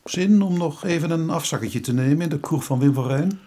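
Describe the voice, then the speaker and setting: An actor playing a gruffly kind, informal police inspector, a superior officer. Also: male voice, on the high side, brisk, mellow, a little hiss or hum, close to an everyday voice. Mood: kindly. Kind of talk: radio drama acting.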